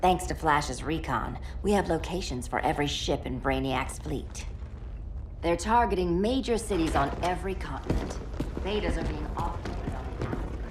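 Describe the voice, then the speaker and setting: Game ally: female actor as businesslike briefer, low female register, measured, matter-of-fact. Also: echoey room, lightly acted. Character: cool, businesslike female team member giving briefings. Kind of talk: video game cutscene acting. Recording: clear background ambience noise.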